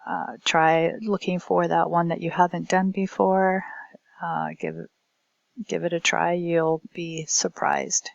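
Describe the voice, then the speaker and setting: Lady teacher doing a recorded talk, kinda hesitant, bit of vocal fry, dull lo-fi audio. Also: on the low side, flat delivery, slow, no echo. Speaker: language instructor giving a presentation. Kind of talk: recorded academic presentation.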